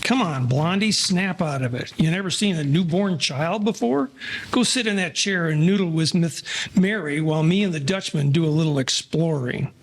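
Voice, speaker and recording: A man's voice: a little high, moderate pace, a little hiss or hum, close to an everyday voice. A man playing a chipper, ribbing 1940s gangster sidekick in an old-time radio crime drama. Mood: urging.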